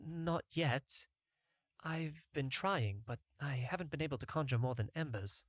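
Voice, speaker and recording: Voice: mid-range voice, measured pace, dry recording, clean studio sound, natural voice. A narrator voicing an earnest, impulsive young male novice healer in a fantasy game story. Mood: hesitant.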